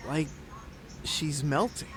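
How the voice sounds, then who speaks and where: An actor playing a mischievous, nosy schoolboy in an audio drama, excitable and taunting his friends. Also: medium pitch, slow pace, crowd noise, lightly acted. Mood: nosy, mocking.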